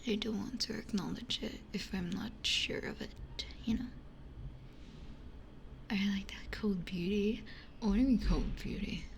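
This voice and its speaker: A woman's voice: medium pitch, unhurried, creaky, long pauses. A woman streamer chatting casually in an ASMR style, blunt and giggly, close to a binaural microphone. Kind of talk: whispered ASMR casual chat.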